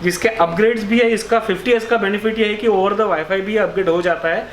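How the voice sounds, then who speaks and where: A high-pitched male voice with an Indian accent explains a product in an informal, hesitant way. He switches in and out of English. The recording is echoey, with faint noise behind him. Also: fairly even intonation, fairly quick. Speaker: motorcycle gear store representative. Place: motorcycle accessories store. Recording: roomy echo, faint background noise.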